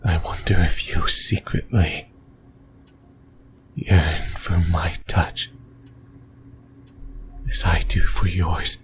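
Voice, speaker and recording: A man playing an obsessive, lonely sleep paralysis demon in a breathy, intimate whisper for an ASMR horror roleplay, hesitant and rambling. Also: deep voice, steady tone, very slow, long pauses, a little hiss or hum. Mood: yearning, possessive.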